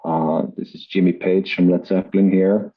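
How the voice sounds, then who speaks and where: A man from Iceland, presenting his work on a podcast in a relaxed, self-deprecating way with many fillers. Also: low voice, normal speed, breathy edge, lo-fi sound. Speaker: guest, acoustics company head.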